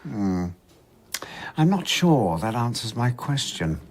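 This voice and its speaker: An actor playing a sceptical man in a radio drama, dryly probing and wryly disapproving, with a husky voice. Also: medium pitch, big pitch swings, slow pace, heavily acted. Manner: dry, questioning.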